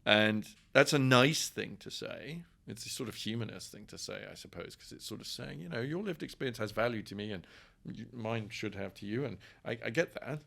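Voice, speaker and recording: Low, creaky English man. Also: animated, fairly quick, few pauses, a bit bright. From England. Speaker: professor, podcast guest. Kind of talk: conversational opinion interview.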